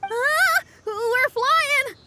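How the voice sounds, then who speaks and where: A woman voicing an upbeat young woman in a video game, informal and expressive. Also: extremely high pitch, very slow, heavily acted. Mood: excited.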